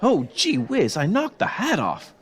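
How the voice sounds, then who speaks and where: A man's voice: very high voice, a little slow, creaky, slightly rough, heavily acted. A man playing Archie, an eager, easily flustered teenage boy who stammers, in old-time radio comedy style. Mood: panicky, hushed urgency. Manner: excitable, stammering when flustered. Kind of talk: 1940s radio comedy acting.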